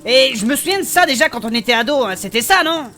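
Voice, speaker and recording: A woman playing a cheeky, excitable member of an adventuring band in a fantasy audio drama, colloquial and exclamatory. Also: high-pitched, quick pace, faint music behind, exaggerated voice. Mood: curious, friendly. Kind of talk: French fantasy audio fiction acting.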